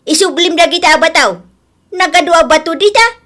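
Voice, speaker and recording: A woman's voice: very high voice, normal speed, bright, forceful tone. A woman playing an indignant village auntie who scolds and protests to guard her things, in a comedic radio drama.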